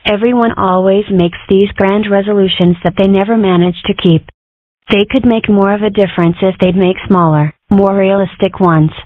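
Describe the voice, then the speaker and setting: A woman's voice: medium pitch, fairly even intonation, moderate pace, dry recording, close to an everyday voice. A woman voicing a cheeky, contrary young girl fox in an amateur comic dub, delivering dry jokes matter-of-factly. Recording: muffled, phone-like narrow sound.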